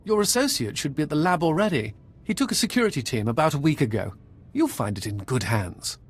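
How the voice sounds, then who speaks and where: An actor playing a deferential, efficient imperial liaison officer in a video game, formal and polite in manner. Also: male voice, on the high side, very animated, brisk.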